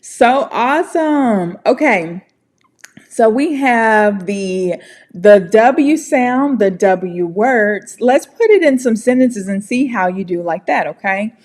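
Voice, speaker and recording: American lady teaching pronunciation, slow and kind of high, super encouraging. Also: lively intonation, a little mellow. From United States. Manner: friendly, encouraging, slow and deliberate. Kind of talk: casual online pronunciation lesson.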